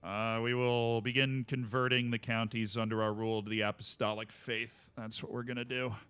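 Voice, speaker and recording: Smooth bright-voiced man streaming a game. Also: medium pitch, little pitch movement, moderate pace, soft background music. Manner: chatty, wry asides, talks to himself. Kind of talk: casual game commentary.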